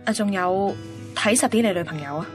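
An actress playing a concerned, easily flustered young woman friend in a Hong Kong Cantonese radio drama, colloquial and animated. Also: medium pitch, brisk, natural voice. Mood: flustered, hinting. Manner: animated, colloquial, mixes in English words. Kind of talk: Cantonese radio drama dialogue and inner monologue. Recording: music bed under the voice.

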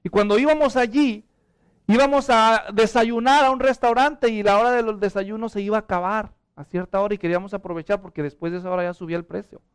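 High, resonant Mexican preacher. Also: male voice, steady tone, quick pace, clear, resonant tone. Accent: Mexican Spanish. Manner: impassioned, drops to hushed prayer then rises to urgent appeals. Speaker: pastor. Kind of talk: sermon.

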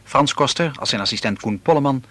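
A man's voice: medium pitch, lively intonation, fairly quick, fairly bright tone. A man working as a radio announcer, reading scripted broadcast credits.

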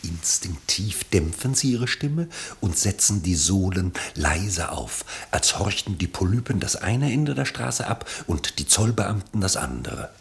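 A male narrator with a very low voice and a gravelly creak. He reads a literary radio play script in measured phrases, with a lively, wide-ranging melody.

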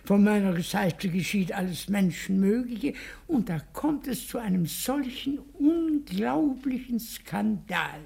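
A haughty baron serving a prince in a radio play. His high male voice is mellow but heavily fried and husky. He speaks in an affected, emphatic way, full of snobbish affront. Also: lively intonation, slow pace, few pauses, exaggerated voice. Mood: pompous outrage. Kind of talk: German radio play acting.

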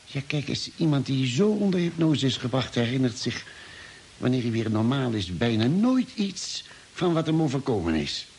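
A thoughtful male expedition doctor in an old radio play. He reasons things out deliberately, pausing mid-sentence, with a lively rise and fall in pitch. The recording carries a light hiss. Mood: pondering. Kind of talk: 1950s-style radio drama acting.

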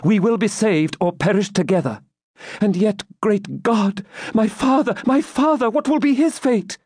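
A man's voice: sits high, animated, measured pace, darkish tone, slightly rough, dry recording, studio quality, pitched above the performer's own voice, full character voice. A man voicing an anguished but steadfast young woman in an audiobook, impassioned and dramatic. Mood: anguished resolve.

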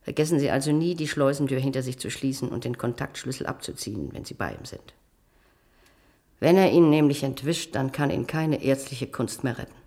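An actress playing a strict, cold matron in a German radio play, giving orders in a brisk, condescending, authoritative manner. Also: female voice, extremely low pitch, warm tone. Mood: coldly stern. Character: strict, cold institutional matron with a callous streak. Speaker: head matron instructing a new attendant.

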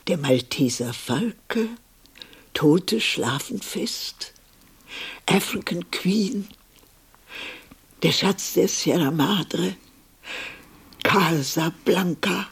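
An actress playing a nostalgic woman film lover, narrating in in a measured, deliberate way for a radio drama. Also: on the low side, expressive, creaky, husky, heavily acted. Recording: music behind the voice.

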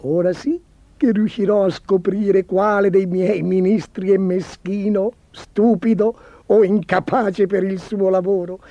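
A man's voice: high-pitched, animated, measured pace, dark tone, no room sound, dull audio, pitched above the performer's own voice. A man voicing a vain, imperious, spoiled storybook emperor in a petulant, commanding, theatrical manner. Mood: scheming. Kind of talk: storybook character voice.

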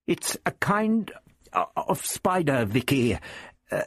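A man voicing a grave, protective old doctor with emphatic, halting exclamations in a science fiction audiobook. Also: on the high side, big pitch swings, no room sound, studio quality, pitched above the performer's own voice, full character voice. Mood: explaining.

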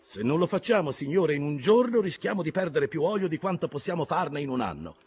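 A man voicing a dutiful, cautious first mate in an audiobook sea drama, husky, deferential and earnest, yet firm. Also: a little high, sing-song, fairly quick, a little mellow, exaggerated voice. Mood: respectful, insistent.

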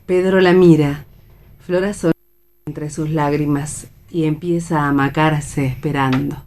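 A woman's voice: sits low, fairly even intonation, a little slow, a little mellow, a little hiss or hum. A woman with an Argentine accent reading stage directions as scripted narration for radio theatre.

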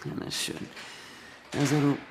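An actor playing a police detective in a German radio crime drama, questioning methodically with a dry, needling confidence. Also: male voice, measured pace, noisy background, close to an everyday voice. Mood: methodical, pressing. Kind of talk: German radio play acting, police interrogation.

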